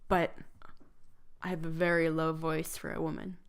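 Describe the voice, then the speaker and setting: A woman's voice: sits low, little pitch movement, normal speed. A young woman with a lisp co-hosting a podcast, making dry, teasing jokes in casual chat. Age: young adult. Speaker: podcast co-host.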